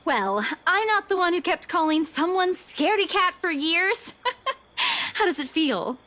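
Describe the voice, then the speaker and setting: A woman voicing a bright, high young woman. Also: lively intonation, measured pace, heavily acted. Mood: teasing. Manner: teasing, then quiet and shaky. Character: frightened young woman, teasing then pleading. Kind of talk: fan voice reading of a visual novel.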